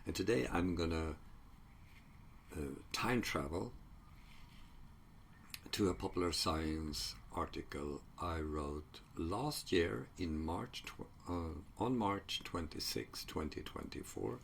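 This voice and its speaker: Slow, gravelly man, Swedish native speaker. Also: sits low, animated, slight background noise. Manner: halting, many fillers and restarts. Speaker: psychology researcher. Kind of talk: casual solo video talk.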